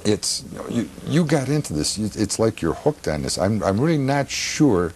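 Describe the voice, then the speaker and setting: A middle-aged American man hosting a TV interview in a halting, rambling, casual way, often repeating himself. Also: fairly low pitch, very animated, darkish tone, breathy edge. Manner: halting, rambling, repeats phrases and leans on "you know". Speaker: TV show host. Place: television studio. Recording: older TV broadcast with faint background noise.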